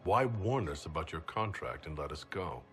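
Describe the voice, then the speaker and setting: An actor playing a blunt, commanding leader in a fantasy game cutscene, terse and direct. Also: male voice, rumbling low, expressive, moderate pace, fairly bright tone, faint music behind. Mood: blunt, serious. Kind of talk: scripted video game cutscene acting. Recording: long hall reverb, background ambience noise.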